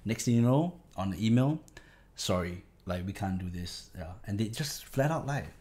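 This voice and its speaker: A young musician with a Singaporean English accent talks in a casual interview. His voice sits fairly low, and he speaks frankly and animatedly, often restarting his sentences. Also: expressive, normal speed, warm tone. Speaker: musician guest.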